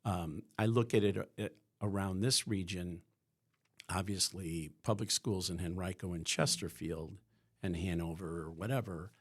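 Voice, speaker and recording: A man's voice: low voice, fairly even intonation, normal speed, husky. A man from the Chicago area, a podcast guest from a school's staff, talking in a rambling way with many restarts. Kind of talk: conversational podcast interview.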